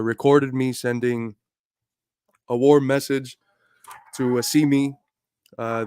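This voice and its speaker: Man, livestream host, mid pitch, earnest, a bit under the weather, dry close sound. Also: darkish tone, clean tone, no echo. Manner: earnest, building to emphatic speech. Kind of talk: casual livestream talk.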